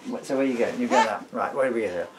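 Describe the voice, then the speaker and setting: Middle-aged British dad, playful and digressive, mid-pitched, with some background noise on a camera mic. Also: male voice, very fast. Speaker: father, author and stage performer. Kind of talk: casual chat and reading own comic book aloud.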